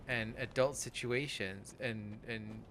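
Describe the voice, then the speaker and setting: A man of about forty chatting casually over gameplay, rambling and halting, with plenty of swearing. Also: fairly low pitch, fairly even intonation, heavy background noise. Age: around 40. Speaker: host of a gaming let's play podcast.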